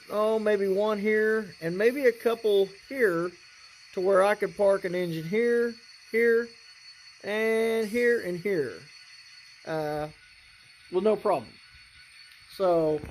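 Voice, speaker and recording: Hobbyist presenter, slow halting delivery, rambling and casual, throat clearing, faint background noise. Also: mid-range voice, darkish tone, low-quality recording. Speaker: hobbyist presenter showing a model train layout. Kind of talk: casual unscripted demonstration.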